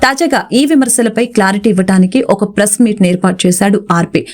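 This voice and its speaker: A woman's voice: medium pitch, fairly quick, a little mellow. A woman reading a scripted celebrity news report as a narrator.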